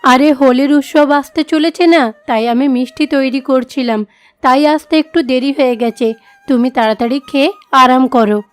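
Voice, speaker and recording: A woman voicing a dutiful village mother in an animated moral story, coaxing and explaining things. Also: high voice, moderate pace, soft background music, close to an everyday voice. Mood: matter-of-fact. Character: dutiful, gently persuasive village mother, middle-aged wife.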